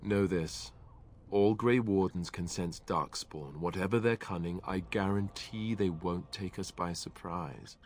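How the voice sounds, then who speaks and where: A wry young warrior in a fantasy game. He speaks in a fairly low voice, steady and assured, with a sardonic edge. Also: measured pace, slight background noise. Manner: dry, sardonic.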